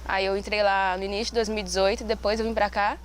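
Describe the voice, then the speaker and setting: A woman's voice: medium pitch, little pitch movement, quick pace. A woman, a student telling her story in a plain, matter-of-fact way. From Minas Gerais, Brazil. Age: 20s. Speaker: student interviewee. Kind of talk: personal testimony. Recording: noisy background ambience.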